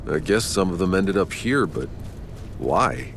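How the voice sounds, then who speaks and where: A man's voice: low voice, big pitch swings, measured pace, fairly bright tone, a little hiss or hum, exaggerated voice. A man playing a laconic, commanding mercenary soldier in a video game cutscene. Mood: somber, curious. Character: gruff, low-voiced mercenary soldier man, laconic leader.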